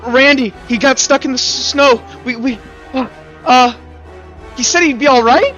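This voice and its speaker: Man putting on a worried teenage girl, raised pitch. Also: moderate pace, fairly bright tone, muffled recording, faint music behind. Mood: worried, breathless.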